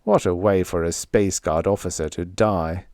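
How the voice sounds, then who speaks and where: A man voicing a wry, stoic young space officer in an audiobook, calm and sober in manner. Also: sits low, moderate pace, no echo, clean studio sound. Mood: wry, grim. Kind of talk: audiobook character voice.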